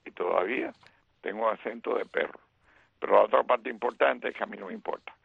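A deep, heavily gravelly male voice talks baseball on the radio with animated energy, dotting his talk with "eh" and "¿ok?". He sounds husky and close, with no room around him. The sound is narrow and tinny, like a phone line. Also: a little fast, no echo. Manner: animated, fills with "eh" and "¿ok?". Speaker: radio sports commentator.

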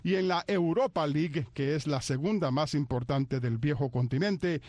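A man's voice: fairly high pitch, normal speed, a little mellow, dry recording. Upbeat man hosting sports radio. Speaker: radio show host. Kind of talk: hosting a sports talk segment.

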